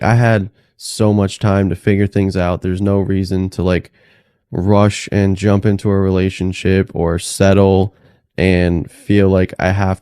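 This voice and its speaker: Deep, flat-voiced late-20s male host. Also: clear, resonant tone, no echo, clean studio sound. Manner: relaxed, encouraging, says "dude" and "like" often. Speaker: podcast host. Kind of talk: casual interview conversation.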